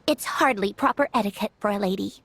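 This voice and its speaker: A woman's voice: medium pitch, quick pace, background noise, lightly acted. A woman playing a proud, refined young lady inventor in an anime video game, speaking in a prim, self-assured and articulate way. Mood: prim, gently haughty.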